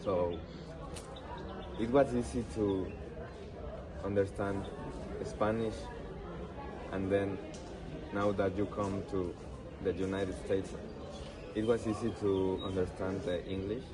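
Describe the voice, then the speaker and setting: A man interviewing someone, asking questions in halting, non-native English phrasing. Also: sits low, fairly even intonation, over music. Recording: distant mic, busy crowd outdoors.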